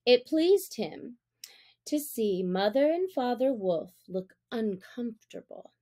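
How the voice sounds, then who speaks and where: A woman narrating a classic story as an audiobook reader, with deliberate, expressive phrasing. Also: a little high.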